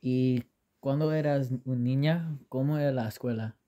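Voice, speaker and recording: Young man, very dark soft-edged tone. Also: mid-range voice, a little slow. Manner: hesitant, careful learner's Spanish. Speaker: interviewer. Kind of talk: simple interview questions.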